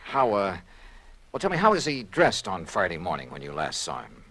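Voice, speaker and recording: An American actor playing a courteous, probing private investigator in a 1950s radio detective drama, middle-aged and a little hesitant. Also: male voice, deep voice, huge pitch swings, moderate pace, bright, forceful tone, breathy edge, exaggerated voice. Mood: patient, probing. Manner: hesitant, polite, patiently probing. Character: hard-boiled but courteous male private investigator. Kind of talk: 1950s radio detective acting, first-person narration.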